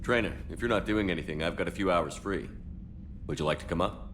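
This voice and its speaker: A sci-fi game commander with a very deep voice. He is steady, dry and direct, a soldier of few words, with noise behind him. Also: fast. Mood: casual, dry. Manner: terse, direct. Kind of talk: dramatic video game acting. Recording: background noise and ambience.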